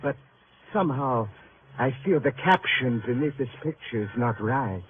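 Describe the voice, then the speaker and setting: An actor playing a vain, theatrical news photographer who fancies himself an artist, speaking in florid, formal phrases in a radio drama. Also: male voice, mid-range voice, expressive, normal speed, phone-quality sound, close to an everyday voice. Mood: self-important, grave. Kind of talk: 1950s radio drama acting. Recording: noisy, thin vintage radio recording.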